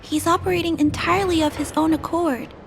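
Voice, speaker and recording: A woman playing a gentle, compassionate little girl in a video game, hesitant and soft-hearted as she speaks. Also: very high voice, fairly even intonation, fairly quick. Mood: thoughtful, sad. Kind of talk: video game character acting. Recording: music under the voice.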